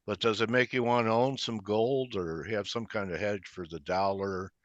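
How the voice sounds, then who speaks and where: A man hosting a casual interview, phrasing his questions in a halting, hesitant way on dull, lo-fi audio. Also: on the low side, fairly even intonation, no room sound. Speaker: interviewer, host.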